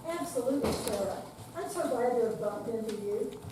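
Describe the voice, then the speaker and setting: A woman's voice: a little high, lively intonation, a little fast, close to an everyday voice. An older woman in an amateur staged reading, playing a cheerful, supportive and slightly naive retired friend. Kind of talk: amateur readers theater. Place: senior center, recorded from afar. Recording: far-off echoey room, clear background noise.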